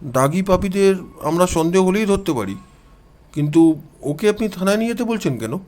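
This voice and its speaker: A man's voice: high voice, expressive, moderate pace, a little mellow. A man voicing a skeptical, impatient police officer with a formal, questioning manner in an audio storybook. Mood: puzzled, informative.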